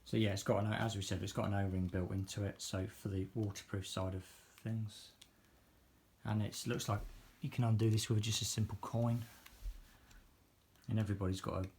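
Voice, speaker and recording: Low-voiced British man, casual demo. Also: flat delivery, moderate pace, a little mellow, slight background noise. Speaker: product reviewer filming an unboxing. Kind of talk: casual unscripted product demonstration.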